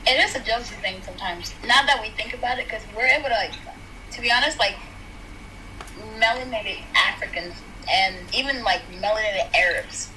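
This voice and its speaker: Bright-voiced woman arguing her point. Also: fairly high pitch, fairly quick, slight background noise, low-quality recording. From South Sudan. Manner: animated, talks through points with many "like" and "right?". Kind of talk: casual chat, debate.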